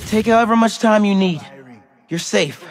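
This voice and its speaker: An actor playing a friendly, wisecracking young superhero in a video game, earnest and natural. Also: male voice, high voice, normal speed, background noise. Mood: reassuring. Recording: loud music over the voice.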